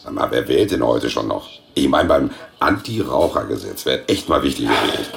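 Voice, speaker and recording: An actor playing a folksy, laconic pub landlord in his sixties with a gravelly voice, in a radio play. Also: rumbling low, very animated, moderate pace, soft background music, exaggerated voice. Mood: resigned, casual. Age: 60s. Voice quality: gravelly, creaky. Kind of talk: radio play acting, casual pub talk.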